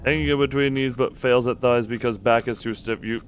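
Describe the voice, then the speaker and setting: A man's voice: medium pitch, fairly even intonation, a little fast, slight background noise. A man hosting a game livestream, chatting casually and rambling as he thinks aloud while playing. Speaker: game streamer, host. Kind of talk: casual livestream chat with gameplay commentary.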